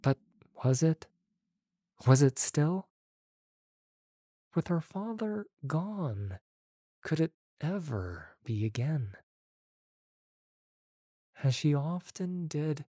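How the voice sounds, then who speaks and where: A man narrating an audiobook story in a measured, expressive way. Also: medium pitch, slightly rough, dry recording, studio quality.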